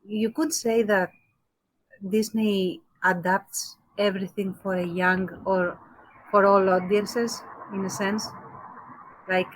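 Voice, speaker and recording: A woman's voice: medium pitch, flat delivery, slow pace, rough recording. A woman co-hosting a podcast, giving her opinions in a casual discussion and thinking aloud as she qualifies her points. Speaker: podcast co-host.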